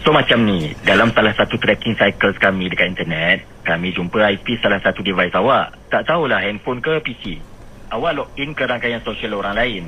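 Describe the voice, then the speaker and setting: A Malaysian man speaks like a company agent on a call, formal and procedural. His voice is fairly low and bright, with faint noise behind it. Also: fairly quick, poor audio. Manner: official, polite, procedural. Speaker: prank caller posing as security company agent. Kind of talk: scripted formal phone script.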